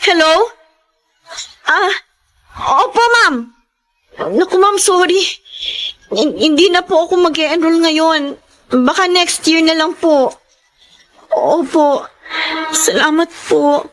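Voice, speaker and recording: An actress playing a young, long-suffering Filipino elder sister in a Tagalog radio drama, with an expressive, emotional delivery. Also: female voice, extremely high pitch, slow pace, long pauses, heavily acted, poor audio. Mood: apologetic, anxious.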